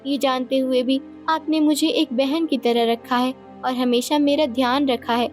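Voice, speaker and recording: Woman acting a sweet-talking, high-voiced junior queen. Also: steady tone, measured pace, faint music behind. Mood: sweet, coaxing. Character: sweet-talking, falsely innocent young second queen. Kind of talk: cartoon folktale acting.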